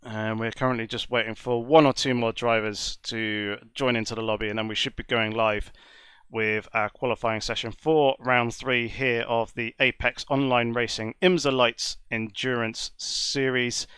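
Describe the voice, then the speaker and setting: A man with a slight cold talks through a sim racing session in a measured, courteous commentary style. His voice stays smooth and clear despite the congestion. The sound is close and dry, with no room around it. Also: mid-range voice, steady tone, fairly bright tone, dry recording. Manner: measured, wordy, polite. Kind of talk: live esports race commentary.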